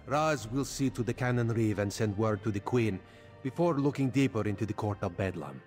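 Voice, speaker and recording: A grave cat-man executioner serving a queen , played by a man in a fantasy video game. He speaks low, in a measured and formal manner, with solemn resolve. Music plays behind the voice. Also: a little mellow, close to an everyday voice. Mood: weary, businesslike. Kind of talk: video game character acting.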